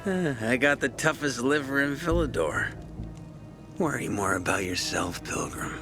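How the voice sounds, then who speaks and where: An actor playing a cocky, hard-drinking, hostile young survivor in a video game, swaggering and mocking. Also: male voice, medium pitch, animated, measured pace, over music, lightly acted. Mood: boastful.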